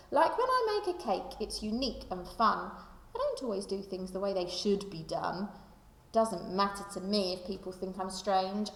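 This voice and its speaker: Echoey recording, woman, creaky voice, primary teacher, storybook read-aloud for children. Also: medium pitch, a bit bright. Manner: addresses young listeners directly. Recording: echoey room with long reverb.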